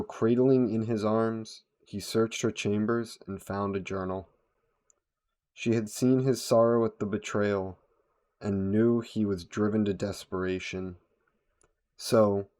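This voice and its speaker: A man voicing a brooding, solemn vampire who narrates a dark tale in a deliberate, dramatic storytelling style. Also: on the low side. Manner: slow, deliberate, solemn delivery. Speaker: audio roleplay performer, storyteller.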